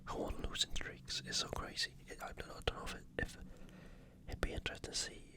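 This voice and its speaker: ASMR host. Breathy, unvoiced whisper throughout, unscripted and informal, thinking aloud through a sports preview. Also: fast.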